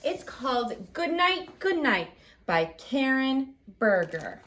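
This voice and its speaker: A woman leading a library storytime for children, singing along and reading picture books aloud in an expressive, exaggerated way. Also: sits high, slow, a little hiss or hum. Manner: expressive, exaggerated delivery aimed at small children. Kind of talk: animated children's sing-along and picture-book read-aloud.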